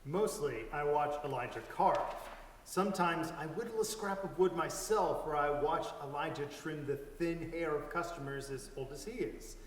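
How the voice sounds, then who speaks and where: A man's voice: on the high side, moderate pace. A man giving a scripted dramatic reading of a first-person story. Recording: echoey hall, distant mic, faint hiss.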